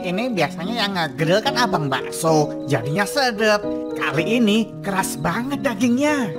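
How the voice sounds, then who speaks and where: A woman's voice: medium pitch, expressive, moderate pace, lightly acted. A woman voicing a puzzled, grumbling diner at a cookout in a comic cartoon. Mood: puzzled, complaining. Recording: loud music behind.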